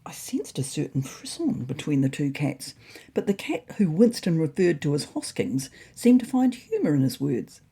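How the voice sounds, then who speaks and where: A woman's voice: low voice, very animated, normal speed, vocal fry, mellow, slightly rough. A woman narrating a scripted first-person story in a wry, dry manner.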